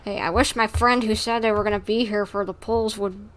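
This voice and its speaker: A woman's voice: mid-range voice, fairly even intonation, fairly quick, fairly bright tone. Woman streamer chatting casually, rambling along. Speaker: live streamer. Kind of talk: casual livestream chat with viewers.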